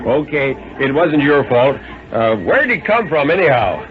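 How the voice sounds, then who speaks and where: A man's voice: medium pitch, sing-song, measured pace. Man acting a big casual cop, vintage radio. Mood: businesslike. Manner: colloquial, offhand. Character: big gruff policeman, casual and puzzled. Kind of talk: 1950s radio dramatic reading. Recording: narrow-band, noisy old radio recording.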